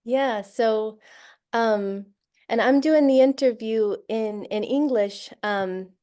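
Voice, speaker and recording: A woman's voice: fairly high pitch, slow pace, mellow, smooth. A woman author from Florida talking in an interview, thoughtful, with many fillers and light laughs. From Central Florida, USA. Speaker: author and guest. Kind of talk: casual interview talk.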